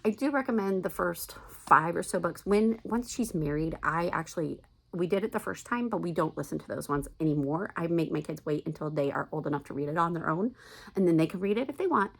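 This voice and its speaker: A woman's voice: on the low side, lively intonation, a little fast, gravelly. A middle-aged American woman chatting casually and emphatically as a video blogger giving recommendations. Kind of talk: casual chat to camera, recommendations.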